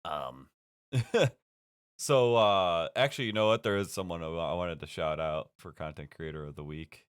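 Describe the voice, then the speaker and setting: A man co-hosting a casual podcast chat, sniffling from a runny nose and talking in an animated way. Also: sits low, normal speed, smooth. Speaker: podcast co-host.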